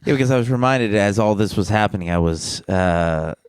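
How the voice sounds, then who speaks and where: A man's voice: fairly low pitch, brisk, clear, resonant tone, no echo, clean studio sound. A young man on a podcast, casually telling a rambling story full of restarts, with a slight case of the sniffles. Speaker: podcast co-host, comedian. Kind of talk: casual chat.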